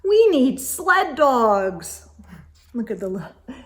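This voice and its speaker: Female children's read-aloud host. Higher voice, very measured pace, expressive delivery with hushed asides to young listeners. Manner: expressive, gasps and whispered asides.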